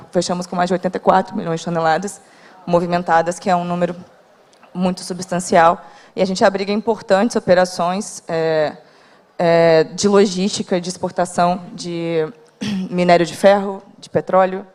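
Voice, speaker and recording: A woman with a lowish, flat voice presents a port operation on a conference panel. She speaks formally but pauses with frequent fillers. Her voice rings out with a long hall reverb. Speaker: port company representative.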